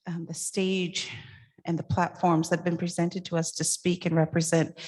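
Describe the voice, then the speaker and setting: An older woman speaking at a podium microphone, giving a public address in a warm, chatty, self-deprecating manner. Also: on the low side, flat delivery, normal speed. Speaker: cultural consultant and speaker. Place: large event hall, at a podium. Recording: reverberant event hall.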